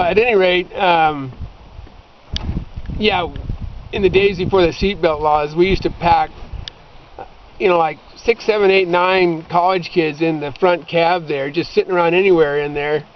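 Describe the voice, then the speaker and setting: A middle-aged American man reminiscing casually, telling anecdotes in a chatty, informal way. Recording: dull lo-fi recording with clear rumbling noise.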